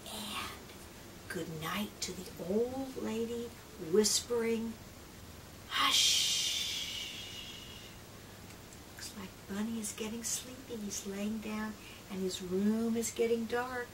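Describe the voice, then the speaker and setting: A middle-aged woman gently and expressively reading a picture book aloud to young children, drawing out phrases and sometimes dropping to a hush. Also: a little high. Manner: gentle, expressive, drawn-out phrases with hushed whispers and questions to the listener. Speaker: children's read-aloud presenter and trainer. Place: small indoor room lined with picture books. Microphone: far camera microphone. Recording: distant microphone, clear background room noise.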